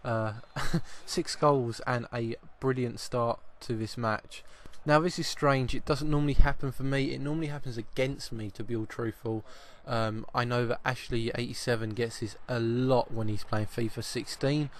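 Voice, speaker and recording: A man, slightly croaky with a cough, giving chatty football video game commentary and often repeating his first words. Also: mid-range voice, steady tone, normal speed, hardly any pauses. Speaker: gaming channel host.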